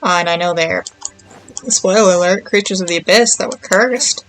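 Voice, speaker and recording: A woman's voice: fairly low pitch, normal speed, a bit bright, a little hiss or hum. A woman chatting casually as she streams a game, rambling and thinking aloud. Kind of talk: casual livestream chat while playing.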